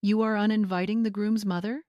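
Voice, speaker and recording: A woman voicing a quietly formidable older mother in an audiobook story, measured and restrained. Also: mid-range voice, little pitch movement, no echo, lo-fi sound, pitched above the performer's own voice, natural voice. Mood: quietly steely. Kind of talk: dramatized audiobook character voice.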